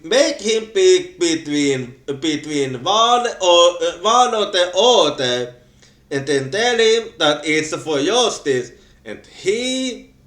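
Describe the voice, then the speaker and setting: Slow, bright-voiced male game streamer. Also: high voice, roomy sound. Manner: halting, with restarts and stretched words. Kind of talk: game playthrough commentary.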